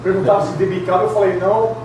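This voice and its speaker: Middle-aged Brazilian man, pastor giving a humorous testimony, high voice, echoey hall with steady noise. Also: quick pace. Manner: chatty storytelling, frequent tag questions, laughing asides. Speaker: pastor, retreat speaker. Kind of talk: humorous personal testimony sermon. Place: large echoey hall at a retreat. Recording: very echoey hall, distant mic, background noise.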